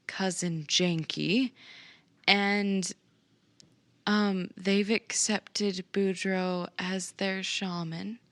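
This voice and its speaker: Slow, low-voiced woman explaining haltingly. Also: dry recording. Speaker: tabletop game player. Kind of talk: in-character recap at a tabletop game.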